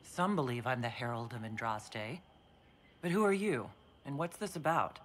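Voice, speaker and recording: A woman voicing a composed, questioning warrior leader in a video game, measured and direct. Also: extremely low pitch, animated. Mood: wary, curious.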